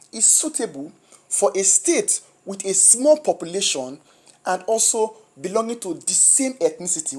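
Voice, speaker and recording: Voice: medium pitch, darkish tone. A tutor with a Nigerian accent walks through exam questions one at a time. The tutor has a flu and a sore throat, reads each answer option aloud and then explains the right one step by step. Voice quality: husky.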